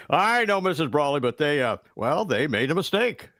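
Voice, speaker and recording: A man's voice: a little high, big pitch swings, normal speed, fairly bright tone. A man playing a mild, apologetic husband on the telephone in an old-time radio drama. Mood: sheepish.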